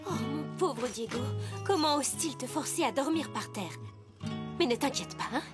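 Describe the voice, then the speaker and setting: A woman playing an earnest, tender-hearted young lady in an animated series dub, expressive and idealistic. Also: sits high, normal speed, music in the background.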